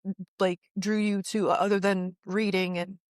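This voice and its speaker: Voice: mid-range voice, flat delivery, clear, resonant tone, no echo. Casual interviewer, fumbling for phrasing, dry close recording. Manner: halting, searching for words, many restarts.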